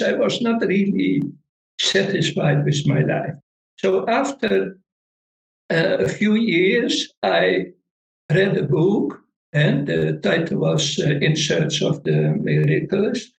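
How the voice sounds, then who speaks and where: A middle-aged Dutch man with a Dutch accent, a Vedic meditation teacher speaking halting, non-native English in a casual interview. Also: sits high, creaky, roomy sound, rough recording. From Netherlands.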